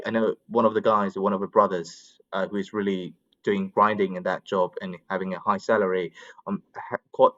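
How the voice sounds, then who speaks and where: A man with a slightly low voice, heard through somewhat dull call audio. He gives his opinions in casual conversation, wordy and feeling his way toward the right words. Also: a bit bright. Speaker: English conversation practice participant. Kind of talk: casual chat, explaining opinions.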